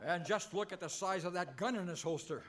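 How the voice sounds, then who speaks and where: An actor playing an earnest, sheepish cowboy hero in an old-time radio comedy, with theatrical exclamations. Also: male voice, high-pitched, a little fast, close to an everyday voice. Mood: agitated. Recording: live stage recording with background noise.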